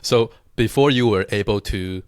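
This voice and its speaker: A man interviewing a guest, asking brief, relaxed questions. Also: fairly low pitch, moderate pace, no room sound, studio quality.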